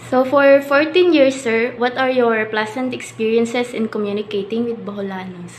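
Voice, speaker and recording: A woman's voice: a little high, normal speed, slight background noise. A young woman with a Filipino accent, politely and deferentially asking prepared interview questions as a student interviewer. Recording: echoey room, music behind.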